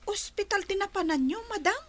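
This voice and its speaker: A woman's voice: very high-pitched, lively intonation, a little fast. An actress voicing an inquisitive, chirpy young schoolgirl in a radio drama, with a Filipino accent. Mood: curious. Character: inquisitive, chirpy young female pupil, Andrea.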